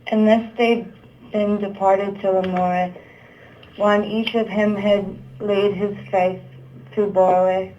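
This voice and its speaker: Slow, flat-toned woman narrating old verse. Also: medium pitch, a little hiss or hum. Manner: halting, stumbling over archaic words. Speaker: narrator of a puppet show. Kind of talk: read-aloud narration of Middle English verse. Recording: dull, muffled lo-fi sound.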